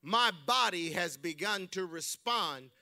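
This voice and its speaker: A man preaching a sermon in a church, emphatic and loud, mixing humor with exhortation. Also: high voice, animated, a little slow, creaky, slight background noise. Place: church sanctuary.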